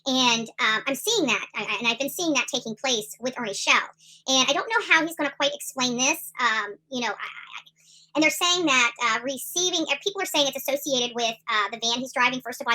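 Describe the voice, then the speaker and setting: Middle-aged woman, live commentary host, quick and bright, emphatic and rambling with lots of fillers. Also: fairly high pitch, rough recording. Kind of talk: casual livestream commentary.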